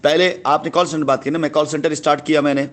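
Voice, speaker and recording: A man's voice: on the high side, fairly even intonation, fast, a bit bright. A man talks in a persuasive phone conversation. He sounds like a company boss justifying himself, pressing each point and often repeating phrases. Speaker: company head answering a customer.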